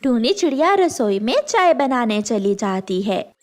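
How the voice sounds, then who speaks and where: A woman's voice: on the high side, very animated, measured pace. A woman narrating a children's moral story in a scripted storytelling style.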